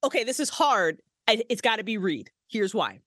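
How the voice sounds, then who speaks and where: A woman guest on a casual podcast interview, a writer and voice actress, talking in an animated way and swearing freely. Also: moderate pace, no echo, studio quality. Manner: animated, swears freely, big pitch jumps when excited.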